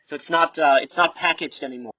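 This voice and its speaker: A man improvising a role-play as an exasperated, pushy shop customer service worker, with halting, repetitive sales patter. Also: on the high side, sharp tone, no echo. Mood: placating, salesy. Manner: halting sales patter, repeats himself, turns self-pitying. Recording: dull lo-fi, distant mic.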